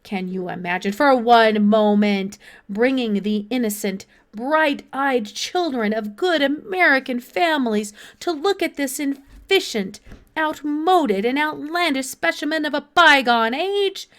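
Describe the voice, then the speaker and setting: A blustering, self-important male politician from a children's storybook , acted out by a woman. The delivery is high and declamatory, pushing forcefully through each grand phrase. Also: lively intonation, measured pace. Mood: grandiose outrage. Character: pompous, blustering fat male senator making an outraged speech. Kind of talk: children's audiobook character voice.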